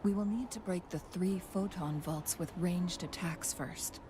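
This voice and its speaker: An actress voicing a calm, thoughtful young woman in a fantasy video game, soft-spoken and measured, with music behind her. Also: lowish voice, noisy background, natural voice. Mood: calm, focused. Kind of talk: scripted video game dialogue.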